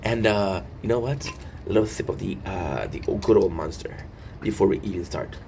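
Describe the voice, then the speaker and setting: A man's voice: sits low, moderate pace, warm tone. A man in his thirties hosting a casual, rambling vlog, reading and commenting with jokey asides and breaking into his own sentences. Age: 30s. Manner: jokey, self-interrupting, playful asides. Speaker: video host. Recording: clear background noise.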